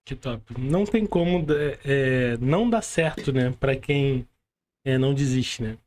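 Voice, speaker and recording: A man's voice: mid-range voice, expressive, a little slow, darkish tone. A Brazilian man hosting a podcast interview in a casual, informal chat style, often adding small tag questions. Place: remote video call.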